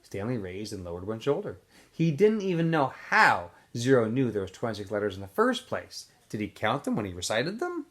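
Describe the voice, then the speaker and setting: A male teacher reads a novel aloud to his students, relaxed and unpolished, with the odd slip and filler. His pitch rises and falls in a lively way as he narrates. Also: fairly quick. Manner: relaxed reading with small slips and fillers.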